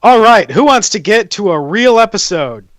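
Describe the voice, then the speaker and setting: A man's voice: high voice, big pitch swings, moderate pace, dry recording. A man hosting a casual podcast pre-show, joking and wisecracking, speaking through a gaming headset from home. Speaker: podcast host. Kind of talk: casual chat.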